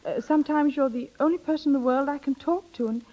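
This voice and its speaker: An actress playing a scheming, playful older sister in brisk, knowing 1930s radio drama style. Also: female voice, high-pitched, lo-fi sound, close to an everyday voice. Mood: coaxing, scheming. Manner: brisk, knowing, theatrical, coaxing. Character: bossy, scheming, playful older sister coaching younger one. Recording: vintage 1930s radio broadcast.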